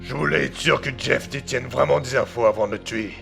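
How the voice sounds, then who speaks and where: Gravelly, crude hitman voiced by a man, hesitant, faint music behind. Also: mid-range voice, brisk, a bit bright. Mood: tense. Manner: crude slang, agitated, often hesitant. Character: nervous young male hitman, crude and shifty. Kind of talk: amateur audio drama.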